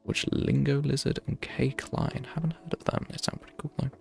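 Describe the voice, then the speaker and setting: A man's voice: medium pitch, steady tone, a little fast, gravelly, husky, soft background music. A young man in his twenties, chatting casually and thinking aloud on a game livestream, drifting between tangents. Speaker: game streamer. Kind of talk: casual livestream chat while playing.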